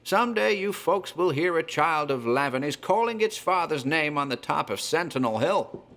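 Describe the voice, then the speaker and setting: A rustic tavern regular with a fairly high male voice. He speaks in folksy, emphatic dialect, plain-spoken and gossipy, in the manner of an audio drama. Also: lively intonation, normal speed, a bit bright. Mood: emphatic recollection.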